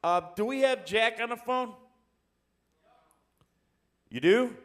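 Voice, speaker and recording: A man's voice: extremely high pitch, animated, slow, a bit bright. Upbeat joking talk-show host man. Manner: upbeat, joking announcer patter. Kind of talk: live talk show hosting.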